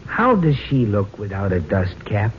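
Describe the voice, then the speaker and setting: A man's voice: on the low side, very animated, moderate pace. An actor playing a polite, wistful middle-aged married man in an old radio sitcom, speaking in an earnest, theatrical way. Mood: polite, hesitant. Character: henpecked married visitor, wistful, polite, delighted by mess. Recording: dull, noisy old radio recording.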